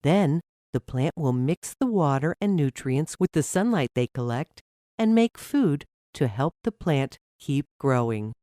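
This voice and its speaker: Lady doing a patient mom in a kids story, gentle, explains things slowly, lilting voice. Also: sits low, lively intonation, no echo, studio quality. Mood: patient, explanatory. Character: patient, encouraging mother explaining to her child.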